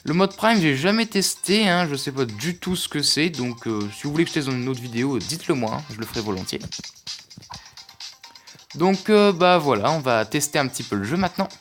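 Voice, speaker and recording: A man's voice: on the high side, expressive, brisk, a bit bright. A man casually commenting on a mobile game as he plays, hesitant, with lots of fillers and restarts. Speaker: gaming youtuber. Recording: music playing behind. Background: game music.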